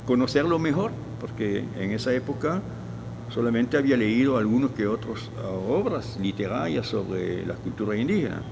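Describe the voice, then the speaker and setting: Brief Older male ethnologist with a French accent, gravelly, hesitant and reflective, documentary interview over music. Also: lowish voice. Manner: reflective, pausing often with hesitant fillers. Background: soft music.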